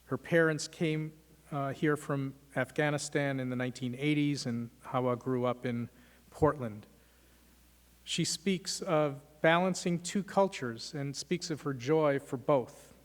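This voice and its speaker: Man giving remarks in a reverberant hall. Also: mid-range voice. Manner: measured, with frequent "uh" fillers. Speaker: law school host introducing a lecture. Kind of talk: formal welcoming remarks. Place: lecture hall.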